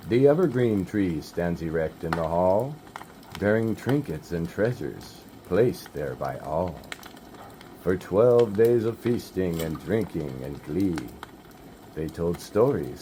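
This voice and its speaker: A man reading a rhyming holiday story poem aloud in a measured storybook manner. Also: low voice, warm tone, slight background noise. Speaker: narrator.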